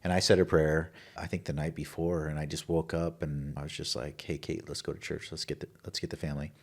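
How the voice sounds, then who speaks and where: A man's voice: a bass voice, flat delivery, a little fast, creaky. A middle-aged American man hosting a casual podcast conversation, speaking in an earnest, personal and informal way. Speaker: podcast host.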